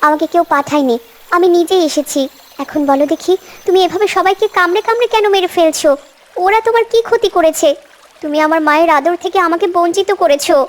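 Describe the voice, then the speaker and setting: A woman's voice: very high-pitched, fast. A woman voicing a brave, earnest little girl in a cartoon, talking boldly and pleadingly. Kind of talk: cartoon voice acting.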